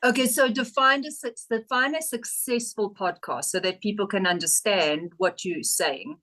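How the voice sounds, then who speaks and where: A woman's voice: medium pitch, moderate pace, sharp tone. A woman hosts a business interview show over a video call. She sounds enthusiastic and gushing, and her voice sits in a middle range for a woman. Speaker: podcast host. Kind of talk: interview hosting.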